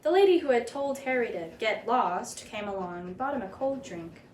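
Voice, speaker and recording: A woman reading a children's picture book aloud in an expressive, dramatic storytelling manner. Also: a little high, moderate pace. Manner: animated, dramatic read-aloud delivery. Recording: roomy echo, background noise.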